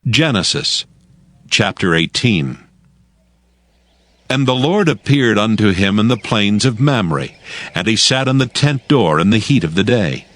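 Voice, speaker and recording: A man narrating scripture from a script in a measured, formal manner. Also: fairly low pitch, expressive, a bit bright, no echo.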